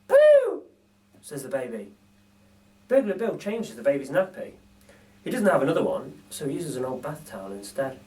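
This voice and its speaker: Man reading a story to kids, really expressive up and down, dramatic pauses, recorded from a way off. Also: mid-range voice. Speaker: storybook reader for children. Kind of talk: expressive children's story narration. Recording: distant microphone.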